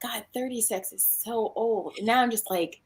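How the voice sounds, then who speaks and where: A woman's voice: fairly high pitch, normal speed, noisy background. A woman in her thirties chatting casually in a joking, self-deprecating way. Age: 30s. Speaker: stream host. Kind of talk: casual group chat.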